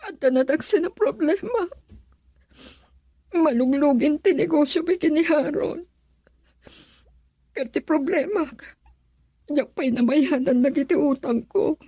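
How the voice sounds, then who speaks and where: Despairing filipino soap wife, super high slow plaintive voice, close dry sound. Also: female voice, dark tone, no room sound. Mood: troubled, reluctant. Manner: plaintive, melodramatic. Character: troubled debt-ridden wife Rosela, anguished and despairing. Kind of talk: Filipino radio drama acting.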